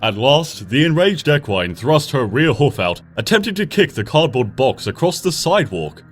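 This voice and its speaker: A man narrates a fan story with a lively, swooping delivery, rising for the dramatic moments and sinking low and quiet for the tender ones. His voice sits at a middle pitch. Gentle music plays faintly underneath. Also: normal speed. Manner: lively, dramatic storytelling with soft, hushed passages. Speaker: fanfiction reader. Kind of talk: expressive audiobook narration. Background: soft background music.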